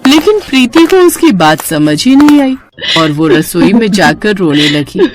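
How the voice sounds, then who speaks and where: A woman's voice: medium pitch, big pitch swings, unhurried. A woman narrating a scripted cartoon story as the storyteller. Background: faint music.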